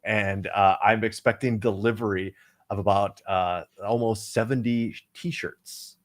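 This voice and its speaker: Man, low voice, rambling, lots of fillers, casual podcast co-host. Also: steady tone, measured pace. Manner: rambling, many fillers and restarts.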